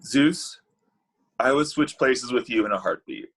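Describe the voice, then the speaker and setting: A man's voice: medium pitch, expressive, measured pace, a bit bright, breathy edge, heavily acted. A man playing Cupid in a comedy table read, a bitter, heartbroken matchmaker god who gets defensive and exasperated. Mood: sheepish, sarcastic. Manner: exasperated, indignant, stammering.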